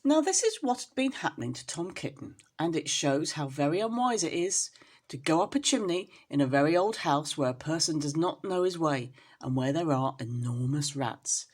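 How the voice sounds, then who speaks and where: Lady reading a kids story, lowish voice, really lively up and down, talking to little listeners. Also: moderate pace. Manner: animated, addresses young listeners with questions. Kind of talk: expressive children's story reading.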